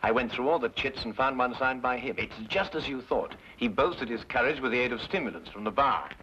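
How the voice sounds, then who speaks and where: A lively British detective on a dull, hissy old radio recording. He is precise and assured, reasoning drily aloud in a Victorian London drama, his pitch moving freely as he makes each point. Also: a little fast. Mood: brisk, sly. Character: brisk, brilliant, coolly logical male Victorian London detective.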